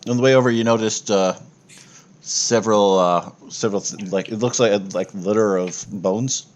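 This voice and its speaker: A man acting as game master, narrating a tabletop game casually with halting, improvised explanations. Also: lowish voice, slight background noise.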